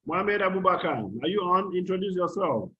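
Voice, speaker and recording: Nigerian male moderator, slightly high voice, informal workshop host on a dull-sounding video call. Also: lively intonation, fairly quick. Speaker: workshop host calling on participants. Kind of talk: informal online meeting moderation. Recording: dull lo-fi online call audio.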